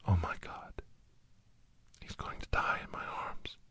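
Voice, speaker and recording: A man playing a grave doctor in an ASMR horror story, whispering deliberately in a breathy, husky voice, with pauses and repeated phrases. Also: a bit bright, no room sound. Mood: hushed, suspenseful. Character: Doctor Andrew Michaels, grave male storyteller recounting a werewolf encounter. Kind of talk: whispered ASMR dramatic first-person storytelling.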